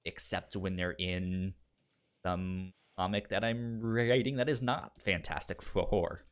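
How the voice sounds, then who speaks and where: Man, lower-pitched voice, stutter on word starts, repeated syllables, halting delivery, casual podcast co-host. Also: fairly even intonation.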